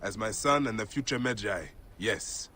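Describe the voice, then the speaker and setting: Low-voiced fatherly hunter. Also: pushed and bright, slight background noise. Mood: tender, reflective. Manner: measured, instructive. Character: fatherly Egyptian protector and hunter, patient, steady mentor. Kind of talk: naturalistic game cutscene acting.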